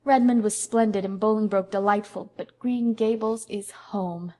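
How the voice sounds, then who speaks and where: A woman's voice: mid-range voice, steady tone, normal speed, dark tone, natural voice. A woman voicing a dreamy, effusive young woman who speaks in a lyrical, gushing way.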